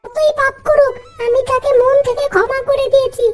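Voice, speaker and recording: Kind young cartoon heroine, extremely high squeaky pitch, polite, earnest, music underneath. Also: female voice, fairly even intonation, moderate pace. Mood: earnest pleading. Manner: earnest, deferential, sweet pleading.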